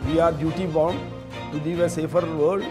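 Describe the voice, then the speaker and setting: A man's voice: fairly high pitch, steady tone, moderate pace, over music. An older man explaining things formally and earnestly as an interviewed chairman. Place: indoors, house sitting room.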